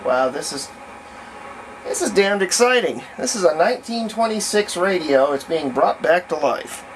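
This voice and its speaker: Man, slightly high voice, enthusiastic, casual demo, loud static and hum. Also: expressive, moderate pace. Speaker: vintage radio hobbyist demonstrating a set. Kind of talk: casual demonstration chat. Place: small indoor room of vintage radios. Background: old radio playing with static.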